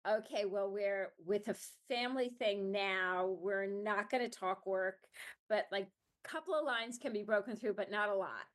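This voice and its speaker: A woman hosts an interview in a middle-pitched, everyday voice. She muses aloud, circling back with "you know" and "like" as she shapes her questions. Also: normal speed. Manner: reflective, thinks aloud with fillers "you know" and "like". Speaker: podcast host. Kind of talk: conversational interview.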